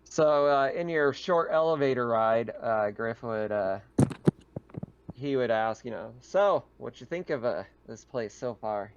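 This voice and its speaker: Guy game mastering, gravelly fry in his voice, halting off-the-cuff descriptions, slightly muffled audio. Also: mid-range voice, lively intonation, a little hiss or hum. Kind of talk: casual tabletop game narration.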